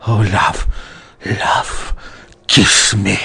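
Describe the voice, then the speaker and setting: Man acting a husky, lovesick pleading lover. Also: lowish voice, steady tone, very slow, pushed and bright, exaggerated voice. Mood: rapturous. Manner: ardent, melodramatic. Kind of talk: verse drama audiobook acting.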